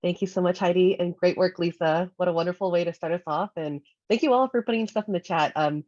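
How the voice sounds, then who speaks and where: A woman's voice: lowish voice, fast talker, a little mellow, dull audio. A woman from Hawaii with an American accent, giving an informal town hall talk online in a reflective, explanatory manner. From Hawaii, United States. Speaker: discussion speaker. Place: remote online meeting.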